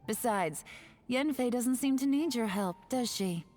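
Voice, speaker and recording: A woman's voice: fairly high pitch, expressive, a little slow, darkish tone, faint music behind. A woman voicing a cool, self-assured female agent in a video game, composed and dry with a subtle menace. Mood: cool, firm.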